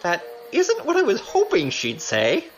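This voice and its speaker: A woman's voice: medium pitch, huge pitch swings, a little slow, soft background music, low-quality recording. A woman playing a wry, flippant young male rogue in an audio drama. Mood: exasperated.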